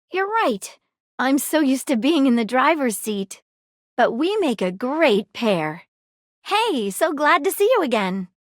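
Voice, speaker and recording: A woman's voice: high voice, dry recording, studio quality. A woman voicing a nosy, flirtatious female magnifying glass detective in a video game, with a playful manner. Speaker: dateable game character. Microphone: close studio mic.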